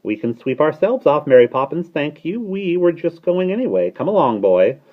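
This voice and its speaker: A man voicing a cheeky, conceited old male starling in a storybook, gruff and taunting. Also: a little high, very animated, normal speed, narrow, tinny audio, voice pushed up. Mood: chirpy, cocky. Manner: gruff, taunting, screamed squawking boasts.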